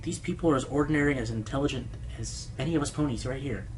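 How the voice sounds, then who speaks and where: A man's voice: mid-range voice, racing, a little hiss or hum, lightly acted, rough recording. A man playing a defensive, earnest pony radio host being interviewed in a radio play, stammering and hesitant. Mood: exasperated. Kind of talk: conversational radio play dialogue. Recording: music under the voice.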